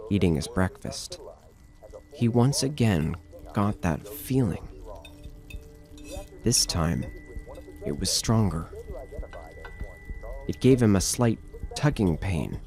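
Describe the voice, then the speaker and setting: A man's voice: sits low, expressive, takes it slowly, pauses often, a little mellow, soft background music. A man narrating a scripted horror story as the narrator of an audio drama.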